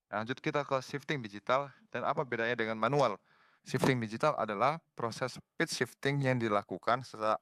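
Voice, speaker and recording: A young man giving an explanatory slide presentation as a student, somewhat halting as he reads. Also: mid-range voice, a bit bright, clear, resonant tone, no room sound, studio quality. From Indonesia. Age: young adult.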